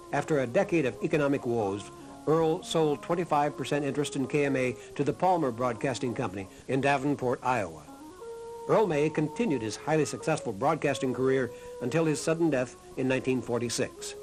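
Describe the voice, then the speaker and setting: Guy narrating a history documentary, earnest and measured, clear music playing behind him. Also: medium pitch, rough recording. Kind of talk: scripted narration.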